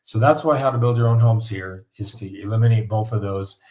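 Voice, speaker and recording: Raspy-voiced man hosting a live Q&A. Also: on the low side, a little fast, a little mellow. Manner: folksy, repetitive coaching tone. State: raspy, recovering from flu. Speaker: host of live Q&A on home building. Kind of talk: casual live-stream advice talk.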